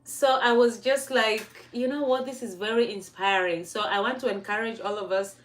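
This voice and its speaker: A woman's voice: medium pitch, steady tone, moderate pace. A woman from Kenya with a Kenyan accent, talking on a casual motivational livestream, chatty and laughing often, stopping to correct her own words. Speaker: livestream host.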